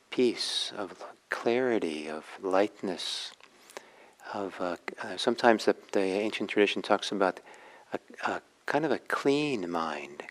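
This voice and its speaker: A man giving a guided meditation talk as a teacher, reflective and halting, with frequent pauses. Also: lowish voice. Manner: halting, reflective, pausing often.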